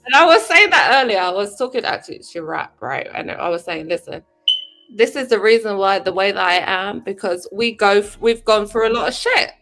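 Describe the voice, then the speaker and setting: A woman from Sudan speaking as a guest on a casual livestream panel, animated and quick to talk over others. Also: medium pitch.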